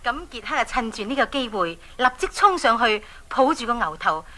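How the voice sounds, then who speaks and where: A woman with a Hong Kong accent narrating a dramatised children's story in an exclamatory, animated way. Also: a little high, normal speed, a little hiss or hum.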